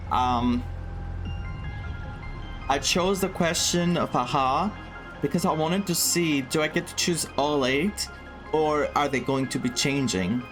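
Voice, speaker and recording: A man playing through a game story and thinking aloud, excited and chatty, in a room indoors. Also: medium pitch. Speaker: gaming streamer. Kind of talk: let's-play commentary. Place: indoor room with air conditioning. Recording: voice over game music.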